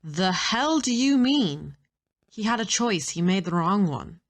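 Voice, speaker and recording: A woman playing a blunt, angry, defiant young captive in an audio roleplay, speaking in a forceful way. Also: mid-range voice, big pitch swings, measured pace. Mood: indignant.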